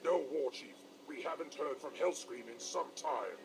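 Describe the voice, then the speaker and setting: A dutiful orc warrior. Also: male voice, a little high, normal speed, creaky. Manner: dutiful, reporting. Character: loyal orc warrior, dutiful male grunt. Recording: hissy captured game audio.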